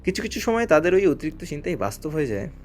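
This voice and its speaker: A man acting a protective, animated older brother. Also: a little high, very fast. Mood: lecturing. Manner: emphatic, admonishing, explaining at length. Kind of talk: audio drama acting.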